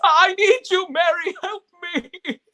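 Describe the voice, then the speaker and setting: A man's voice: extremely high pitch, slow, bright, forceful tone, full character voice. A man playing an emotional, stammering family man in a live radio play, speaking with breathless urgency. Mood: desperate, anguished. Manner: stammering, impassioned, breathless pleading. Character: frantic, despairing then overjoyed family man.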